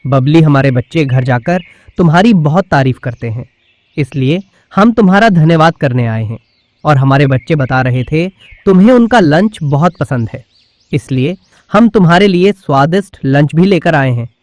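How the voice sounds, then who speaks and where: A man's voice: on the high side, expressive, moderate pace, a little mellow, no echo, lightly acted. A man voicing a firm male pigeon who leads the forest birds in a children's cartoon, speaking decisively as if giving instructions. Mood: slyly polite.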